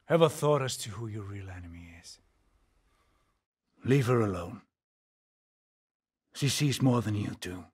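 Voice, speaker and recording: Slow, very husky Norse leader. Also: male voice, lowish voice, expressive, a little mellow, dry recording, studio quality. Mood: stern, pleading. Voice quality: husky, breathy, often near a whisper. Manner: slow, deliberate, grave, with pauses. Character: weary, haunted Norse settlement leader and seer. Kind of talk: dramatic video game acting.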